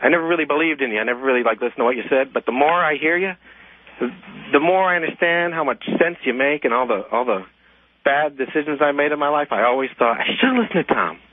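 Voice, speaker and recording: A man's voice: fairly high pitch, quick pace, dry recording. A man phoning a radio show, speaking in an earnest, effusive, personal way. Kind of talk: personal phone call. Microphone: telephone. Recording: thin tinny phone line.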